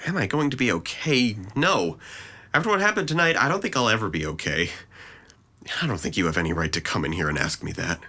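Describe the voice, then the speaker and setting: A man's voice: on the low side, expressive, a little fast, pushed and bright, full character voice. A man acting a depressed, guilt-ridden and resentful young man in an audio drama, with a wounded, bitter delivery. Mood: bitter, hurt.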